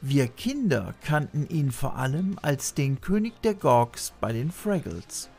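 Guy in his 30s doing a scripted retro video, mid pitch, chatty asides, studio mic in a shelf-packed room, some music under it. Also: sing-song, unhurried, creaky, a little mellow. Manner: informative, chatty with playful asides. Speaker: host of a retro TV channel. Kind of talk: scripted narration about voice actors. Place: small room full of shelves of videos and toys. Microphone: studio mic on a boom arm.

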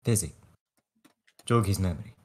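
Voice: low voice, expressive, moderate pace, darkish tone. A performer voicing a vengeful, grief-stricken swordsman, theatrical and earnest, in a table read. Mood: commanding. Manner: theatrical, pleading, solemn.

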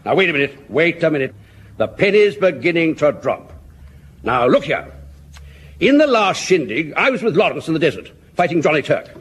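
Figure: a brash, boastful captain. Pitch: high. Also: male voice, big pitch swings, moderate pace, slight background noise. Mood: brusque. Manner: brusque, boastful. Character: brash, boastful male captain, a veteran of an earlier war. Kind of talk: 1970s radio sitcom acting.

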